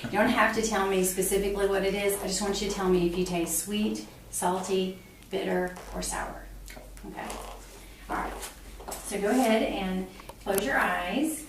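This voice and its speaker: A woman's voice: medium pitch, fairly even intonation, moderate pace. Echoey middle-aged woman examining a patient. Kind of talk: explaining a physical exam step by step. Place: small hard-walled exam room indoors. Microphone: camera mic a few feet away. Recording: echoey room, distant mic, clear background noise.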